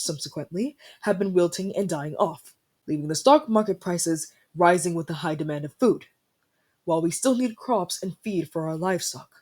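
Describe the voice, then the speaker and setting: Breathy, haughty young ice prince, acted by a man. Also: high voice, darkish tone. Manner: formal, imperious, accusatory. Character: haughty young winter prince, indignant and easily flustered. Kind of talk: ASMR fantasy roleplay acting.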